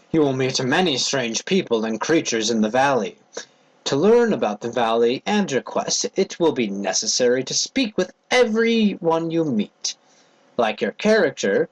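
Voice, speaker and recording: Theatrical man reading with swooping pitch. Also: poor audio. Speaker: narrator. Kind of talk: dramatic reading of a game manual.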